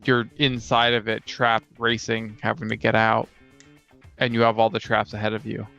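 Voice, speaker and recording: A man's voice: lowish voice, completely flat delivery, normal speed, creaky, sharp tone. A man chatting casually as a co-host and player, building ideas thoughtfully step by step. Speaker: co-host and player on a tabletop gaming show. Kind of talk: casual brainstorming chat. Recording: slightly dull recording with music behind.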